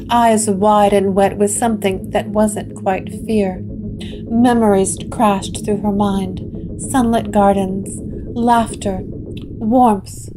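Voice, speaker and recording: A woman narrating a gothic horror story with measured, atmospheric delivery. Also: mid-range voice, rough recording. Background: guitar music.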